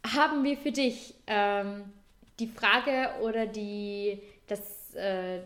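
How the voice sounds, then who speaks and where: A woman's voice: medium pitch, steady tone, slow pace, fairly bright tone. Chatty Austrian woman podcaster. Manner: chatty, many fillers and restarts. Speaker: podcast co-host. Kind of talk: casual chat.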